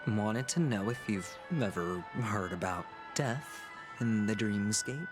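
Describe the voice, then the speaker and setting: Flamboyant gambler in a video game, smooth n ironic, drags words out, music behind. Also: male voice, on the low side, slow pace. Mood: courteous, probing. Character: slick, flamboyant male gambler, weary and tormented underneath. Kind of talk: theatrical game dialogue.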